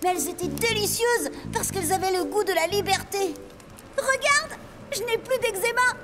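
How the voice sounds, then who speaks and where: A woman's voice: very high voice, normal speed, loud music behind the voice. A woman voicing a pompous, dramatic young boy in a French cartoon, with a wordy, affected manner. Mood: exalted. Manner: wordy, affected, formal phrasing. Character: pompous, dramatic, precocious well-bred boy cousin. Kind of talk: French animated series acting.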